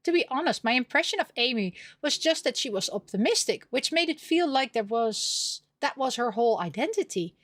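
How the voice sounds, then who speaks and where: A woman reading a story game aloud expressively, dropping in casual asides, with an animated delivery. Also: high voice, fairly quick, few pauses, no echo. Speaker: streamer reading a visual novel aloud.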